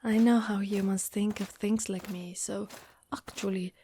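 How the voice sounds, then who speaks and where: A woman voicing a gentle, protective undead warrior woman in an ASMR monster roleplay, speaking softly and reassuringly close to the mic. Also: mid-range voice, steady tone, a little slow, a little hiss or hum, natural voice. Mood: shy, tender. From Italy. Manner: soft, caring, reassuring.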